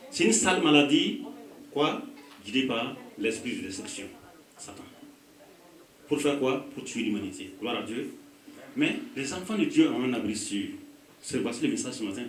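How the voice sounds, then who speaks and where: A pastor with a West African French accent gives a call-and-response sermon, prompting the congregation to finish his phrases. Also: mid-range voice. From Burkina Faso. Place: church hall. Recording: echoey hall, voice from a distance.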